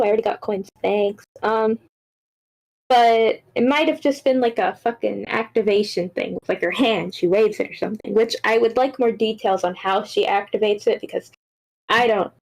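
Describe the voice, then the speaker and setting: A woman's voice: mid-range voice, steady tone, normal speed, gravelly, rough recording. A woman giving casual, rambling script feedback in an informal way, peppering it with "like". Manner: informal, rambling, frequent "like" and swearing. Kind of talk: casual spoken critique.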